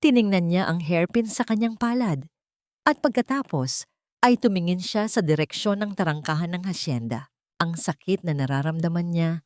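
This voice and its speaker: A woman's voice: on the low side, a little mellow, dry recording, clean studio sound. A woman narrator reading a scripted dramatic story for an audio drama.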